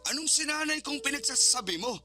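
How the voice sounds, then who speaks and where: A man's voice: very high voice, lively intonation, quick pace, bright, forceful tone, faint music behind, full character voice. A man playing an indignant, scolding father in a radio drama. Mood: accusing, indignant. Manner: confrontational, indignant. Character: angry, scolding father calling from abroad.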